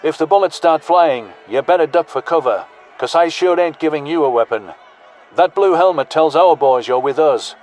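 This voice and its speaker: A man's voice: on the high side, expressive, moderate pace, a little mellow, close to an everyday voice. A man playing a brash, pragmatic human soldier who leads with blunt, slangy, folksy talk. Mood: brash, practical.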